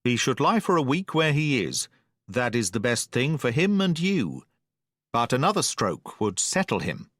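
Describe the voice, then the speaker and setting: A man voicing a stern, educated middle-aged doctor who speaks in a brisk, severe and precise way. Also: medium pitch, sing-song, fairly bright tone, no room sound, studio quality.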